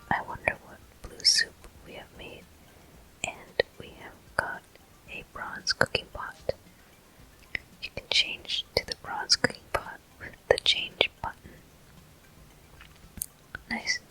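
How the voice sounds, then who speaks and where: A person whispering gentle, unhurried ASMR commentary as a video game walkthrough host. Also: very high-pitched, low-quality recording. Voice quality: soft, breathy whisper.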